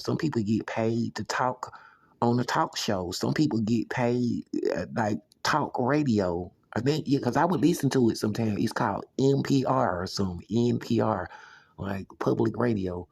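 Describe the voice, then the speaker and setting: A man in his thirties talking in a rambling personal monologue, filling every pause with "you know.". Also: medium pitch, creaky, a little mellow, slightly rough, no room sound. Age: 30s.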